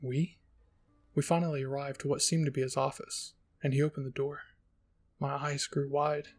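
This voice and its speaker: A man's voice: mid-range voice, little pitch movement, fairly quick, darkish tone. A man narrating a first-person fan-fiction story as a roleplay reading. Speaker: story reader.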